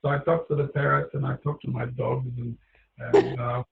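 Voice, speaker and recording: A man giving an informal talk about his book, hoarse and losing his voice, rambling with many fillers and restarts. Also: mid-range voice, flat delivery, normal speed, echoey room, phone-quality sound, a crowd in the background.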